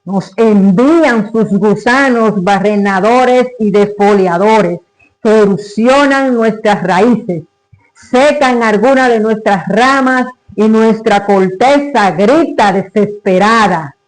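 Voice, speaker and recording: Slow, high-pitched man reciting solemnly. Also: rough recording. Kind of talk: dramatic recited literary monologue.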